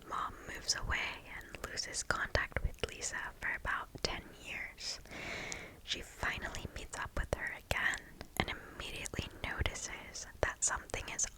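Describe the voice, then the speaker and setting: A host reading stories aloud in a breathy, hushed ASMR whisper, close to the microphone, in a deliberate way. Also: poor audio.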